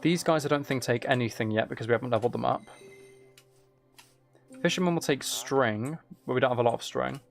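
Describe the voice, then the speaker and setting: A man's voice: medium pitch, brisk, gravelly, pauses often, faint music behind. A man giving casual gameplay commentary, thinking aloud in a musing, hesitant way as he plans. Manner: musing, self-talking, hesitant planning. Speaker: gaming streamer. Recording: keyboard clicks and typing noise. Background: faint noise, computer keyboard and mouse clicks.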